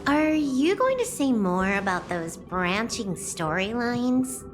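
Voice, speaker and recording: A wry, playful female confidante from a video game. Her voice is fairly high and swoops expressively, slow and teasing over music. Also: sing-song. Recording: music under the voice.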